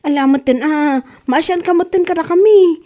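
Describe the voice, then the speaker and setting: A woman's voice: extremely high pitch, moderate pace, dark tone. A woman with a Filipino Ilocano accent playing a hard-up, complaining wife who keeps borrowing, in a comedy radio drama. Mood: pleading.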